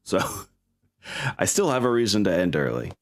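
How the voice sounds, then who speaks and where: Low-voiced man, informal and chatty. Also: very animated, moderate pace. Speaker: game master and stream host. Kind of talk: casual chat with players and stream viewers.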